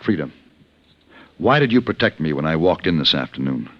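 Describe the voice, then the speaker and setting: An actor playing a refined, steady gunfighter for hire in a 1950s radio western, speaking and narrating in a measured, composed way. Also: male voice, rumbling low, dry recording, exaggerated voice. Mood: calm, probing. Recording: dull vintage radio recording with music.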